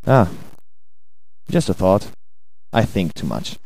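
Rambling hungover Swedish guy, low and mellow. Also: slow, gravelly, low-quality recording. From Sweden. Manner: rambling, crude, deadpan, hesitant. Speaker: amateur audio ranter. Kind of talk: rambling crude home-recorded monologue. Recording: home recording with buzz and music.

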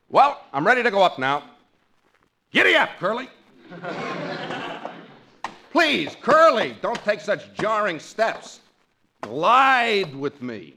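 A lazy fellow milking his broken leg for all it's worth, his voice swooping high and low in grand, mock-suffering phrases. He is a touch higher than most men. It comes through an old radio recording with plain hiss behind it. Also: exaggerated voice. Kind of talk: 1940s radio comedy acting.

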